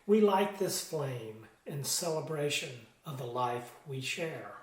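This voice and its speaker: A man's voice: medium pitch, expressive, slow pace. A man in his sixties giving a solemn liturgical reading as a lay reader. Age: 60s. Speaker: church board officer, lay reader. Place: room in a home, seated at a table. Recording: roomy indoor echo.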